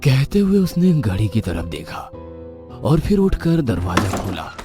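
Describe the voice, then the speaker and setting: A man narrating an animated horror story in an expressive, suspenseful way. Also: fairly low pitch, a little slow. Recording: background music.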